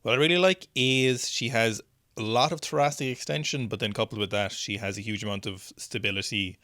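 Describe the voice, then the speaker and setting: A man hosting a strength news show in a relaxed, chatty way, thinking aloud through each clip with plenty of restarts. His voice sits somewhat low. The sound is dry and close, with no room echo. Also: a little fast. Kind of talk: casual video commentary on weightlifting clips.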